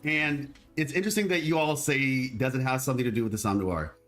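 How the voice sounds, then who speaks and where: A man with a deep voice chatting casually as a lore video host, rambling and restarting his sentences. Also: fast talker, fairly bright tone, soft background music. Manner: rambling, hesitant, full of restarts. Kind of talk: casual chat.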